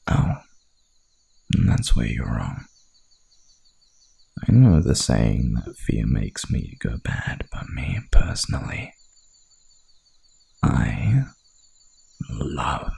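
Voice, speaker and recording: A man voicing a hungry, dominant werewolf hunter in an ASMR roleplay, with a gravelly, breathy growl and a menacing, seductive drawl. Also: extremely low pitch, mellow, exaggerated voice. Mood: savoring fear.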